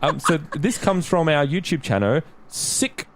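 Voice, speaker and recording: A male podcast host with a fairly high voice and very lively intonation. He chats in a playful, teasing way and reads out messages in a light, informal style. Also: unhurried. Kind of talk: lighthearted podcast chat, reading out listener emails.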